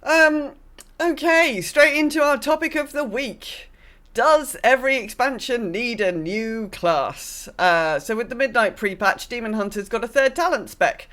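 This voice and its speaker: A woman's voice: a little high, expressive, a little slow, fairly bright tone. A woman co-hosting a casual gaming podcast, speaking in short interjections and questions. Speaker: podcast co-host.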